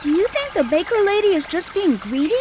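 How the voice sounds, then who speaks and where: A woman's voice: extremely high pitch, very animated, a little fast, noisy background. A woman with an American accent playing a naive, eager, cheerful little boy in a video game dub. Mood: curious. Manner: eager, inquisitive.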